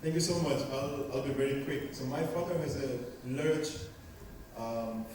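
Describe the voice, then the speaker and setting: A man's voice: mid-range voice, normal speed, a little mellow, slight background noise. Earnest man giving advice in an echoing hall. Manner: earnest, storytelling, rhetorical questions. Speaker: panelist, entrepreneur. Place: large conference hall. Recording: echoey conference hall from far off.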